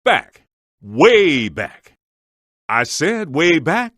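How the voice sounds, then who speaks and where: An actor playing a streetwise, wisecracking ghost hunter in a video game, practical and exasperated, with casual, slangy, punchy delivery. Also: male voice, fairly high pitch, very animated, very slow, fairly bright tone, dry recording, clean studio sound, exaggerated voice. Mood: urgent.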